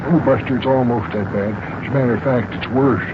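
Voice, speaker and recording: An actor playing a fussy, cowardly old mayor in a children's radio serial, flustered, stammering and repeating himself. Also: male voice, medium pitch, fast talker, pitched below the performer's own voice, natural voice. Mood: nervous, hushed. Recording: muffled, noisy old radio recording.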